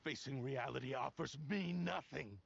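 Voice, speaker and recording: A man's voice: medium pitch, moderate pace, creaky, dull audio, a little hiss or hum. An actor playing an obsessive, scornful male blade spirit in a video game, speaking in an imperious, formal, archaic way. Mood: cold, resolute. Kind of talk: dramatic video game voice acting.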